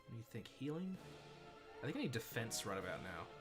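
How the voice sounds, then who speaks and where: A man's voice: mid-range voice, sing-song, quick pace. A man with a half Australian, half American accent casually commenting on his gameplay as a streamer, chatting to himself while he plays. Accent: mixed Australian and American. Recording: game music in background.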